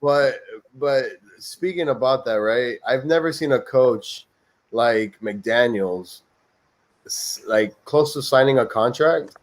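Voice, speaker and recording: A man's voice: mid-range voice, slow pace. A man in his early thirties co-hosting a casual sports chat, laughing often and throwing "bro" into nearly every line. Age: 30s. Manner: animated, laughs often, says bro a lot. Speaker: podcast co-host.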